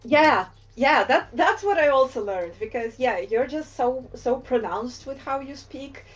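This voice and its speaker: A woman's voice: high-pitched, lively intonation, unhurried, lo-fi sound, soft background music. A woman art director chatting casually on a livestream while she draws, talking in a hesitant way with many fillers and restarts. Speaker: associate art director drawing on stream.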